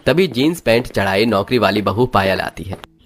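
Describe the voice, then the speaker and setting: A man's voice: on the low side, animated, moderate pace. A man reading scripted narration for a moral story as its narrator.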